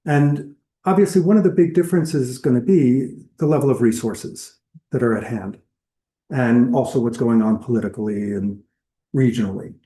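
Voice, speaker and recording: A man's voice: mid-range voice, warm tone, reverberant. A man giving a public lecture in a conversational, explanatory way, listing examples as he goes. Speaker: lecturer on whale entanglement response. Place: lecture hall.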